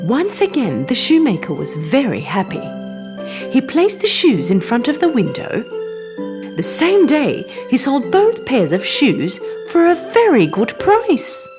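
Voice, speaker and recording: A woman narrates a children's fairy tale with a very lively, swooping delivery and a fairly high voice. Clear music plays behind her as she tells it expressively. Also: unhurried. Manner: expressive, animated storytelling. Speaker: storyteller. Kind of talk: scripted bedtime story narration for children.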